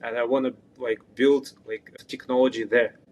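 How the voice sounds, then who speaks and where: A man's voice: mid-range voice, level tone, moderate pace, darkish tone. A man from Kazakhstan with a Kazakh accent, talking casually as a guest founder and repeating words for emphasis. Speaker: startup founder, interview guest. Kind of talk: casual interview chat.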